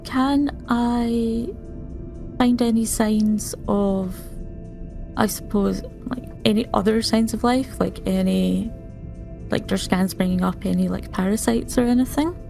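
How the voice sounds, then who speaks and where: A woman's voice: mid-range voice, fairly even intonation, unhurried, gravelly, mellow. A woman playing a tabletop game, chatting casually and thinking aloud in a hesitant way. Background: faint background music.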